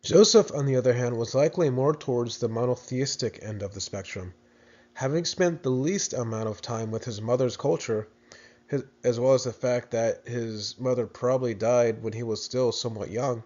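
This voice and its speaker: A man's voice: mid-range voice, moderate pace, a little mellow, lo-fi sound. An American man presenting a video lesson in a casual, explanatory way, often restarting his sentences. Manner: thoughtful, with frequent restarts and fillers.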